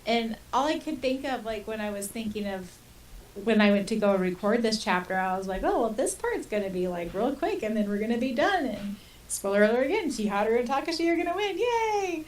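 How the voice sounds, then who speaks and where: Higher-voiced woman podcaster, a little distant. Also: animated, a little fast. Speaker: podcast co-host. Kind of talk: casual fan discussion chat. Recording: distant mic with faint hiss.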